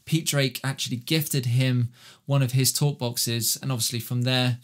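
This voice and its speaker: A man with a medium-pitched voice talks through guitar gear as a presenter. His delivery is casual and explanatory, like an online tutorial. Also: fairly even intonation, normal speed. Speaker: guitar effects demonstrator and presenter.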